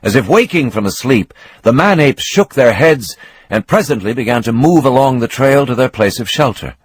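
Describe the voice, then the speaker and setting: A man giving a scripted, dramatic reading of a novel as narrator, expressive with swelling emphasis and a husky voice. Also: mid-range voice, normal speed, dry recording, poor audio.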